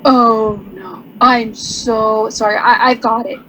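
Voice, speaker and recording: An actress playing an earnest, shy young married artist in a radio drama, speaking hesitantly and apologetically. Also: female voice, on the high side, little pitch movement, slow, pushed and bright, a little hiss or hum, low-quality recording. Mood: bashful.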